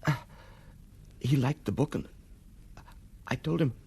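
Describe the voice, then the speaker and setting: Injured male film director, very rough breathy voice, weak, halting, insistent, radio drama. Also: medium pitch, warm tone, heavily acted. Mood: shaken, halting. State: weak, halting, struggling to speak.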